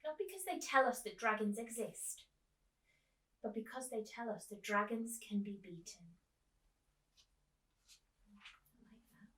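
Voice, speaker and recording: A woman doing an expressive storytime read-aloud, speaking to young listeners as a teacher would. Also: fairly high pitch. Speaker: teacher reading aloud to children. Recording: echoey room, distant mic.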